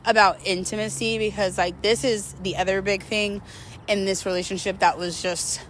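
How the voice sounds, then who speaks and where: Woman venting in a casual vlog, candid n rambly, mid pitch, some noise behind her. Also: fairly even intonation, normal speed, fairly bright tone. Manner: candid, rambling, emphatic, slangy with swearing. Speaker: host of a personal vlog series. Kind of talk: casual confessional storytelling to viewers.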